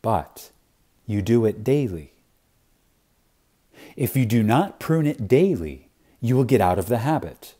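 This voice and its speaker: A man reading an inspirational spiritual lecture aloud in a reverent, dramatic way, with long pauses and strong emphasis. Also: on the low side, very animated, warm tone.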